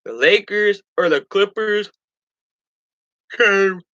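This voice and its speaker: A man's voice: high-pitched, takes it slowly, pushed and bright, dull audio. A young man hosting a casual sports talk stream, stuffed up from allergies, rambling with stumbles and restarts.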